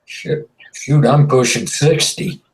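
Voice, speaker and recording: A man's voice: mid-range voice, takes it slowly, poor audio. A man in his late fifties on a casual online video hangout, talking in a laid-back, wry way. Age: 50s.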